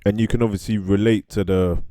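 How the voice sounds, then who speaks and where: A man joking around in a relaxed, slangy way on a reaction video. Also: fairly low pitch, a little fast, no echo, clean studio sound. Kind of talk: casual reaction chat.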